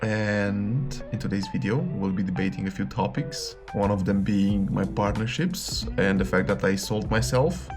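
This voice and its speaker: A man's voice: lowish voice, moderate pace, hardly any pauses. A man in his late twenties speaking casually to camera, rambling and repeating words as he searches for them. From Romania. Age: late 20s. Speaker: YouTuber. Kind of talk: casual direct-to-camera vlog. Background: background music.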